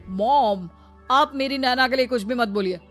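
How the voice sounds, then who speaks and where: A woman's voice: fairly high pitch, normal speed, fairly bright tone, faint music behind, close to an everyday voice. A woman voicing a smug, modern young adult son in a Hindi story cartoon, casual and mixing in English words. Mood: defensive.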